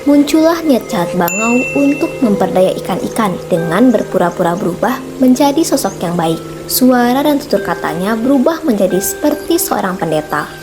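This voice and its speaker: A woman tells a children's-style animal fable from a script. Her pitch is average for a female narrator. Music is clearly present behind her voice. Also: lively intonation, normal speed.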